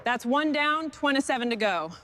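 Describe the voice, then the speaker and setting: A woman's voice: sits high, expressive, moderate pace, very noisy. A woman playing a focused restoration crew boss, giving short orders in acted workshop dialogue. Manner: short, instructing phrases. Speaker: crew leader in a truck restoration.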